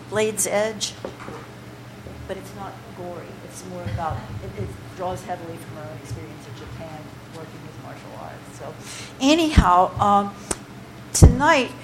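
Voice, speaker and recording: A woman with a slightly low voice leads a poetry workshop in a loose, conversational way, wandering off on side paths with plenty of ums and restarts. The recording carries a steady layer of noise behind her. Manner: chatty, digressive, with many fillers and restarts. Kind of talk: informal workshop talk to a group. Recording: noisy room recording.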